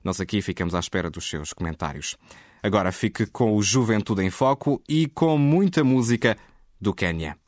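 A man reading scripted radio news in a steady, measured way. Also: lowish voice. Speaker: newsreader.

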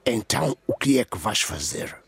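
A man's voice: lowish voice, very animated, normal speed, creaky, breathy edge, no echo, full character voice. A man playing an earnest, insistent male police inspector in a radio drama, serious and pressing. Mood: urgent.

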